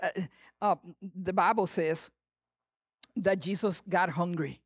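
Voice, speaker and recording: A male preacher with a Latin American Spanish accent delivers a lively sermon. His voice sits high for a man and sounds close and dry. He is playful and animated, swinging between loud, punchy emphasis and quiet, hushed asides. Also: slow pace, slightly rough, dry recording. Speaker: pastor.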